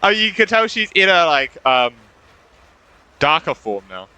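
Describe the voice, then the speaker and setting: A male gaming streamer reacts casually in a fairly high, bright voice. He rambles and repeats himself, with lively swings in pitch. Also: moderate pace, clear, resonant tone, low-quality recording. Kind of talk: casual live game reaction commentary. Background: game music.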